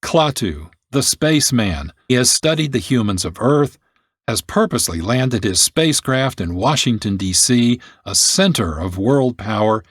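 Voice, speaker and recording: A man hosting and narrating a radio documentary from a script, in a measured, explanatory way. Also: mid-range voice, dry recording, clean studio sound. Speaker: host narrator.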